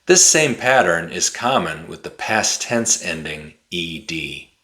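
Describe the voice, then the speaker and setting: A man in his forties giving an English pronunciation lesson to camera, enunciating deliberately and carefully like a teacher. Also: deep voice, animated, pushed and bright. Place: small indoor room with bookshelf.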